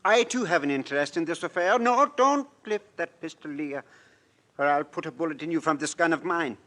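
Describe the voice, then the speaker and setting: A villainous foreign doctor of aviation in a vintage radio drama. His voice sits high for a man. He lectures in formal, measured, self-important phrases. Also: bright, forceful tone. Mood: cold, menacing. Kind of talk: old-time radio drama acting.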